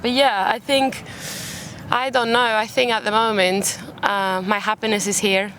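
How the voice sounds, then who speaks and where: A woman's voice: medium pitch, flat delivery, a little slow, a bit bright. A young Spanish woman speaks English with a British accent, in a casual interview style. She is recorded outdoors in a park, with faint city noise behind her. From Málaga, Spain. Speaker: podcast guest. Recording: outdoor urban background noise. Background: faint park and traffic ambience.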